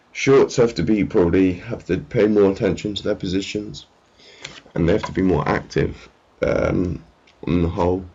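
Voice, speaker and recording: A man with a very low, husky voice and plenty of fry talks casually and without a script. He is hesitant and rambles as he presents what is on his screen. The homemade recording sounds dull and muffled. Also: a little mellow. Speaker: trading analyst presenting his market update. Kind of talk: casual unscripted screen commentary. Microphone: amateur screen-recording voice-over.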